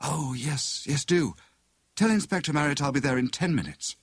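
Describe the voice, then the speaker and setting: A man acts out a gentle young British woman sleuth in an audiobook. Her voice is lifted in pitch and lively in movement, soft and earnest, in a dry recording. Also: normal speed, husky, no echo. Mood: sleepy, brisk. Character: gentle, concerned young wife turned amateur detective.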